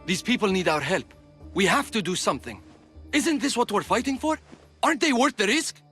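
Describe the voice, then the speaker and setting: A man's voice: high voice, expressive, fairly quick, bright, forceful tone, soft background music. A man playing an earnest, idealistic young man of ancient Greece in a dramatic video game. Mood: impassioned, pleading.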